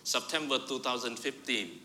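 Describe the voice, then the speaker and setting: A male pastor, preaching and telling a personal story, rising loud for emphasis and dropping to quiet asides. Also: medium pitch, normal speed, slight background noise. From Vietnam. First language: Vietnamese. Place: church sanctuary. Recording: reverberant church hall.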